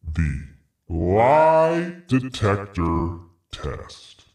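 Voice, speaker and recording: A man putting on a deep voice as a lie detector announcer, with a slow, booming delivery. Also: big pitch swings, darkish tone, echoey room, distant mic, heavily acted. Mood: dramatic.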